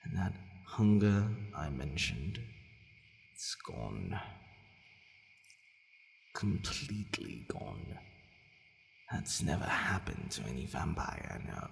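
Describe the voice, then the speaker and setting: A man playing a centuries-old, courteous but possessive vampire in an ASMR roleplay, deliberate and hesitant, sometimes murmuring almost to a whisper. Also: a bass voice, creaky, darkish tone, slight background noise, exaggerated voice. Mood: grave, intimate, then calm, mysterious. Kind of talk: ASMR roleplay addressed to the listener. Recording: long reverberant hall echo.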